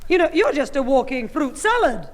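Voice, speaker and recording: A woman's voice: sits high, sing-song, moderate pace. A woman playing an exasperated, scolding mother in a 1960s radio comedy. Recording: old radio, reverberant, studio audience.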